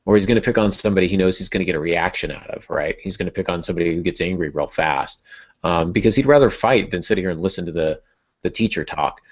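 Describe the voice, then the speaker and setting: A man with a distinctly low voice talks in a casual, explanatory way, as a host teaching writers would. The sound is somewhat muffled and lo-fi. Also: fast talker. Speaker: podcast host and writing teacher. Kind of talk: casual explanatory podcast talk. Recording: dull, muffled lo-fi audio.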